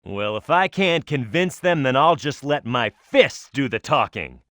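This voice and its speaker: Brash, lively cartoon echidna brawler. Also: male voice, medium pitch, unhurried, no echo, studio quality. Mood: confident, combative. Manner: brash, punchy, emphatic delivery. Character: tough, hot-headed male cartoon echidna brawler, confident and gruff. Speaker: video game character. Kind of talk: video game voice acting, tutorial hints and battle shouts.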